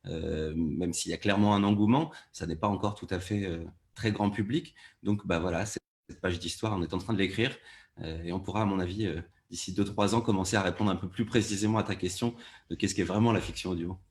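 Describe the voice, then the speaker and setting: Male, lowish voice, producer speaking on a discussion panel. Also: steady tone, a little fast. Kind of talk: panel discussion, explanatory talk.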